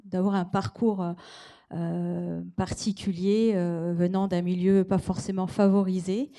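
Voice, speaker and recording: A woman from the Paris region introducing herself as an entrepreneur on a panel, halting with many fillers. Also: on the low side, little pitch movement, dark tone. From Paris region, France. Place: conference stage.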